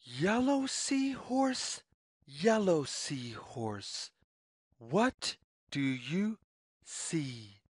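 A woman reads a picture book aloud for young children at a very unhurried, deliberate pace. Her mid-pitched voice swings up and down in a sing-song pattern, with a slightly husky edge. Each phrase is set out word by word. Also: a little mellow, dry recording, studio quality. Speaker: story reader.

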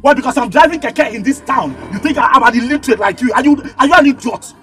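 A man's voice: very high-pitched, expressive, fast, a bit bright, faint music behind. A Nigerian man acting a hot-tempered tricycle driver in a screen drama, indignant and repeating himself. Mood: angry.

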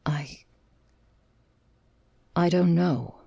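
A woman playing a weary, imperious vampire mistress in a gothic audio roleplay, speaking formally and haltingly with a breathy voice. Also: on the low side, dark tone, no room sound, full character voice. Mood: grave.

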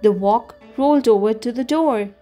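A woman narrating a scripted children's story with expressive, deliberate phrasing. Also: fairly high pitch. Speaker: storybook reader. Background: music.

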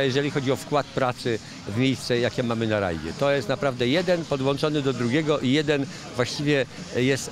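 An older rally co-driver talking in a measured, hesitant way with many fillers, outdoors under a canopy, into a handheld mic. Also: male voice, mid-range voice. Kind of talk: post-stage interview. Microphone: handheld reporter mic. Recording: noisy outdoor rally paddock.

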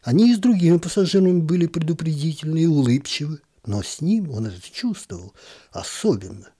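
Guy reading a short story out loud, calm and even, kinda husky, warm low tone. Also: brisk. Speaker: narrator. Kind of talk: literary audiobook reading.

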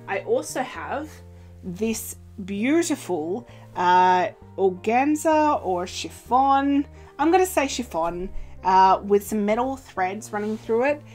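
A young woman chatting casually in an upbeat show-and-tell way, filled with little fillers and playful pitch jumps, recorded in a small sewing room. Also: darkish tone. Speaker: cosplay vlogger. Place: small indoor sewing room with fabric shelves. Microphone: camera in front at desk distance.

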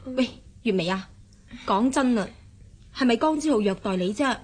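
Bossy, meddling wife, highish voice. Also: female voice, lively intonation, normal speed, a little mellow, husky. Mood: insistent. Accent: Hong Kong Cantonese. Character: bossy, suspicious married woman, meddling friend. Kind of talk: Cantonese radio drama acting.

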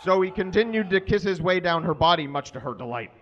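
A man in his thirties giving a comic live reading of fan fiction on a small stage, deliberately tripping over the mistakes in the text. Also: high voice, lively intonation. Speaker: live reader. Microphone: stand microphone on stage, filmed from a distance. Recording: dull, muffled live stage recording.

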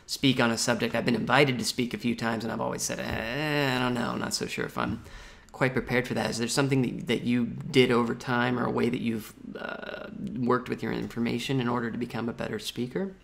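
A man's voice: medium pitch, a little fast, creaky, a bit bright. A man in his thirties hosting a podcast on a video call, asking questions hesitantly and with self-deprecation. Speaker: podcast host. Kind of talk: casual interview. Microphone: earbud headset mic on a video call.

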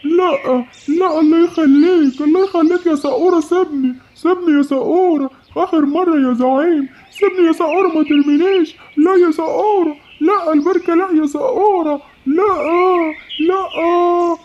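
High excitable Egyptian monkey acted by a performer. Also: normal speed, few pauses. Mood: pleading. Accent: Egyptian Arabic. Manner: fawning, repetitive, excitable. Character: foolish, excitable monkey spy, eager to please boss. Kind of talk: children's animated animal fable. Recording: muffled, noisy home recording.

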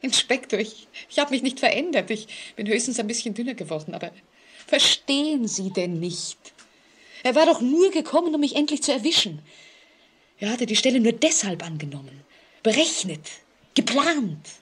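Husky, frightened, haunted woman. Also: on the high side, sing-song, a bit bright, exaggerated voice. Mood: agitated fear. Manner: halting, suspenseful dramatic delivery. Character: frightened, haunted woman living alone, anxious and distrustful. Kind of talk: 1949 German radio play acting. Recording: vintage 1940s radio recording.

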